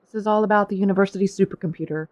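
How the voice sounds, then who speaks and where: An actress playing a harried, guarded woman scientist in her thirties in an audio drama, speaking in a brisk, informal way. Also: mid-range voice, fairly even intonation, a little mellow, lightly acted. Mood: flustered. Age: 30s. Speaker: lead actor in audio drama. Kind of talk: full-cast audio drama acting. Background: music.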